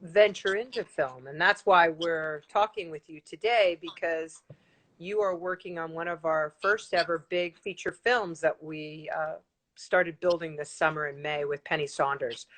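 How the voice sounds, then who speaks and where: A woman's voice: deep voice, fairly even intonation, measured pace, fairly bright tone. A woman in her forties hosting a casual live-streamed interview from home, bubbly and enthusiastic, with frequent ums, heard through a laptop mic. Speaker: host. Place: room at home, indoors. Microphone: laptop webcam mic on a video call.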